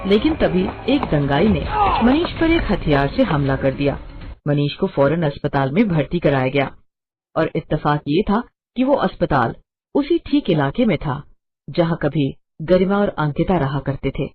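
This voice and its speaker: Expressive woman storyteller, tinny sound. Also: low voice, measured pace, breathy edge. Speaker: narrator. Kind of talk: scripted storybook narration.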